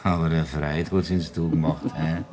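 An actor with a Bavarian accent playing a tipsy, sentimental farmer in a radio play. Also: male voice, very deep voice, little pitch movement, normal speed, a little mellow, soft background music. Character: drunk old farmer mourning his friend. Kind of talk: 1960s radio play acting.

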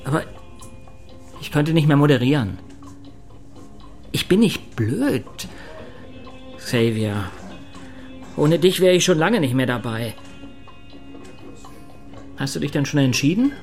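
A man's voice: a little high, expressive, takes it slowly, long pauses, darkish tone, soft background music. An actor playing a loyal, insecure male radio producer with a plain-spoken, conversational delivery in a German radio drama. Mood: wounded, doubtful.

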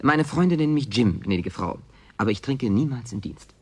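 A man's voice: mid-range voice, expressive, moderate pace, darkish tone, slightly rough. An actor playing a wry, wary man with a mock-courteous, bartender-like manner, in natural German radio play dialogue. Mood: mock-formal, playful.

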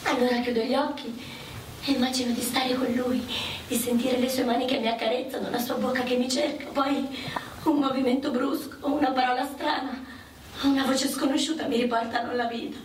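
An actress playing a gentle, sorrowful widowed mother forced into wartime prostitution, earnest and hesitant in a radio drama. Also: high voice, steady tone, brisk, natural voice. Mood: resigned, wistful. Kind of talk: dramatic radio play dialogue. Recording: roomy, distant mic with faint noise.

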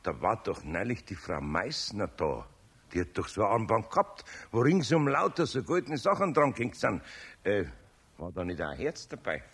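An actor playing a good-natured, patient older master carpenter in a radio play, gravelly and husky, speaking conversationally with a Bavarian accent. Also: male voice, lowish voice, sing-song, moderate pace, a bit bright, exaggerated voice. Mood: firm, sensible.

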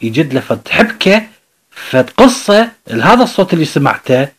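A man with an Iraqi Arabic accent explaining a self-help book in his own words, unscripted and halting, often pausing and restarting. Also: a little high, big pitch swings, slightly rough.

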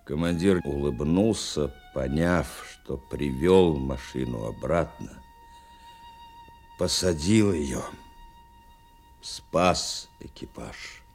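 A sombre male airman narrating his war memories in a radio play. The voice is very deep and slow, with grave, measured phrasing. Faint music plays underneath. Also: darkish tone, exaggerated voice. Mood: solemn. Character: sombre airman recalling a wartime flight. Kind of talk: dramatic scripted narration.

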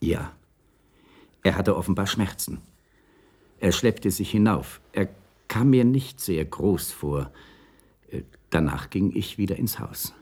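Uneasy witness, deferential, hesitant, raspy low voice, radio drama. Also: male voice, animated, slow pace, pauses often, a little mellow, full character voice. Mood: uneasy, earnest. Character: apologetic, uneasy neighbour giving witness account. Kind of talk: 1980s German radio drama acting.

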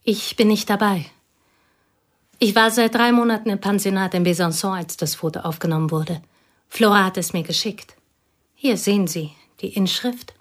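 An actress playing a provincial woman recalling an old school friend, speaking quietly and wistfully in a radio drama. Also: medium pitch, moderate pace, natural voice. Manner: quiet, wistful, partly whispered.

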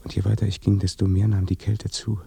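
An actor playing a haunted, weary dead son in a German radio play, speaking in a hushed, brooding way. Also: male voice, low-pitched, flat delivery, fairly quick, creaky, slightly rough, exaggerated voice. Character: dead son recounting his death by fear, haunted, weary. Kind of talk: German radio play acting, slow intimate monologue.

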